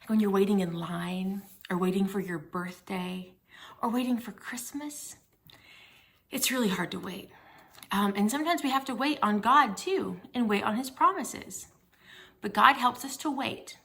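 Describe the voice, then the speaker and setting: Bright, creaky-edged woman reading to kids. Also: medium pitch, a little slow. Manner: simple explanatory phrasing with questions to young listeners. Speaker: children's ministry director reading a Bible story to kids. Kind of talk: storytime read-aloud and talk addressed to young children.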